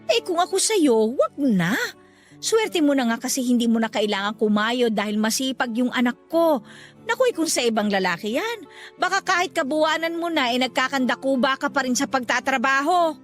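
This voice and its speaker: A woman playing a doting but insistent middle-aged mother-in-law in a radio drama, coaxing and expressive. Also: high-pitched, moderate pace. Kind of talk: Tagalog radio drama acting. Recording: music bed under the voice. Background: background music.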